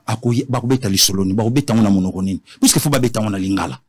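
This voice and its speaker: A man with a Congolese accent in an animated political discussion, emphatic, repeating phrases and switching between languages. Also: fairly low pitch, very fast, breathy edge.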